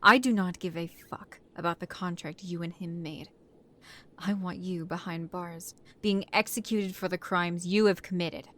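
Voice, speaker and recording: Woman acting tough young lady police chief, lowish voice, curt n bossy, audio drama, noisy background. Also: steady tone, moderate pace, fairly bright tone, close to an everyday voice. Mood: defiant, angry. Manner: firm, authoritative, curt. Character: hard-nosed young female chief detective, vengeful, commanding.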